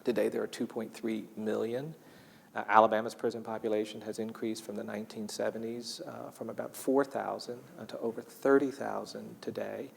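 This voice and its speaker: Lowish male voice, deliberate explainer, gravelly, measured interview delivery, long reverb. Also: flat delivery, slight background noise. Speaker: documentary interviewee. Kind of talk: measured interview commentary on justice statistics.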